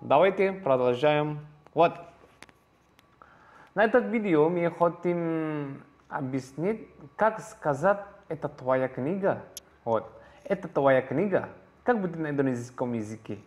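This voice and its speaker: A man's voice: on the high side, animated, a little slow, pauses often, a little mellow, highs cut off. A man with an Indonesian accent teaching a language lesson over slides in a second language, hesitant, full of fillers and restarts, and repeating each phrase.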